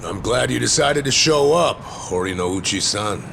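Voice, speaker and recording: An actor playing a gruff, wry older ex-cop with a gravelly voice and casual needling manner, in a video game cutscene. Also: male voice, fairly low pitch, lively intonation, a little slow, fairly bright tone, heavily acted. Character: gruff, wry ex-cop near retirement age. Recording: clear background vehicle and ambience noise.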